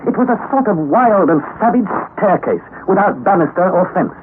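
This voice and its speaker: An actor playing an earnest young nephew who recounts a perilous expedition in measured, theatrical old radio-drama style. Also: male voice, sits high, lively intonation, narrow, tinny audio. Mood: grave, suspenseful. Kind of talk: dramatic first-person narration, old-time radio acting. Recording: thin, band-limited vintage radio recording, noisy.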